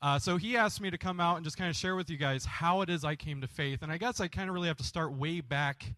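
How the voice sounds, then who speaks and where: A man with a slightly high voice and a Michigan accent, recorded with little room sound. He speaks as a guest pastor sharing a personal testimony, casual and joking, often at his own expense. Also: fast talker, bright, forceful tone, dry recording. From Michigan, USA. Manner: self-deprecating, joking.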